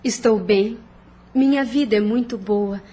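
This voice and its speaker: A woman in an audio novel playing the gentle, caring spirit of a dead wife and mother. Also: a little high, unhurried, recorded from a distance. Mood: serene, tender. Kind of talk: audio novel dramatic acting.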